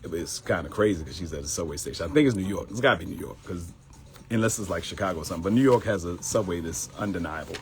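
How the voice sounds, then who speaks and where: A man's voice: sits low, quick pace, faint music behind. A middle-aged man with a Baltimore accent hosting a live morning show in casual talk, addressing viewers directly and acting out quoted voices.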